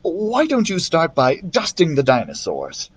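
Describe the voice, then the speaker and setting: A kindly museum keeper, put on by a man reading a storybook, pitched higher than the reader's own voice. He stretches his words warmly with a lively rise and fall. It comes through a built-in laptop mic. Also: a little slow, husky, heavily acted.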